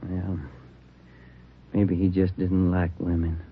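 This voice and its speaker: An actor playing a loyal, matter-of-fact police detective's partner in an old radio crime drama, terse and dry. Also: male voice, sits low, completely flat delivery, takes it slowly, a little hiss or hum. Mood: weary, grim. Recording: muffled old radio recording with music.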